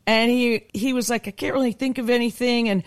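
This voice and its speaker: A woman's voice: a little high, fairly even intonation, fairly quick. A woman in a casual video-call interview, a band member telling a songwriting story and acting out the quoted dialogue.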